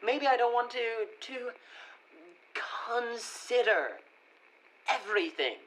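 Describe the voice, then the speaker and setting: A woman's voice: fairly high pitch, big pitch swings, takes it slowly, a bit bright, slight background noise, exaggerated voice, rough recording. A woman playing a weary, withdrawn boyfriend in a radio play, hesitant and stammering in naturalistic dialogue. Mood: exasperated, tired. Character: William, weary, withdrawn boyfriend fed up with his relationship.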